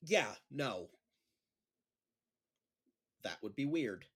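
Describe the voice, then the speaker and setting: A man's voice: mid-range voice, very animated, extremely slow, breathy edge, heavily acted. A man playing an awkward, self-deprecating schoolteacher in a naturalistic audio drama, with a hesitant manner. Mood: awkward, hopeful. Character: awkward, self-deprecating male schoolteacher trying stand-up comedy.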